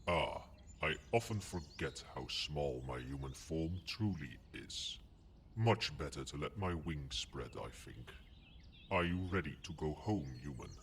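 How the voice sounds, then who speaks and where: Man as a huge calm old dragon, extremely deep voice, formal, fond, musing, ASMR roleplay, clear ambient noise behind. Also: unhurried. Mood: commanding, easy.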